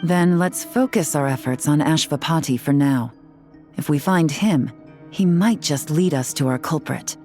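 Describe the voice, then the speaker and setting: A woman's voice: low-pitched, measured pace, darkish tone, faint music behind. A woman voicing a cool, self-assured tracker in a video game. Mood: cool, confident. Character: confident, cool female tracker who works in shadows.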